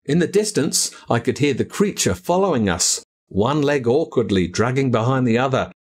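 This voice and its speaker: A man with an Australian accent reading a spooky children's story aloud in a dramatic, drawn-out way. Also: medium pitch, expressive, a little mellow, husky.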